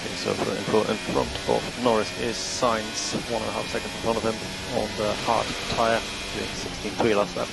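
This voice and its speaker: A man working as a race engineer, giving measured, matter-of-fact instructions over team radio and repeating the key ones. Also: on the low side, little pitch movement, vocal fry. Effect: team radio transmission. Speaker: Formula One race engineer. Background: vehicle and engine noise.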